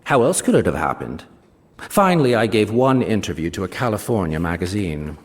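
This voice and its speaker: An actor playing a smug, ageing novelist, reading a short story on stage with wry, self-satisfied, theatrical emphasis. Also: male voice, fairly low pitch, big pitch swings, a little fast. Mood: smug, measured. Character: smug ageing novelist recounting his betrayal of a friend. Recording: reverberant hall, live stage reading.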